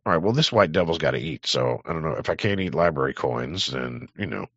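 A man's voice: a bass voice, expressive, fairly quick, a bit bright, slightly rough, no room sound, dull audio. A man hosting a livestream, rambling through a profane, sardonic political rant. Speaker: livestream host.

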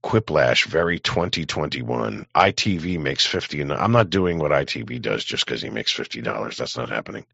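Very deep, gravelly male streamer. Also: expressive, normal speed, hardly any pauses, sharp tone, dry recording. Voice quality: gravelly, husky. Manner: rambling, blunt, profane. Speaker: live streamer. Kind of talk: casual chat to stream viewers. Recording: dull, close, dry microphone.

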